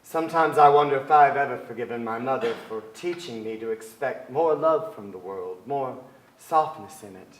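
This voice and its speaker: A man in his forties giving a measured, reflective dramatic reading of literary prose. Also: medium pitch. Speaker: stage actor, reader. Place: on stage in a theater with an audience. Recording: echoey theater stage.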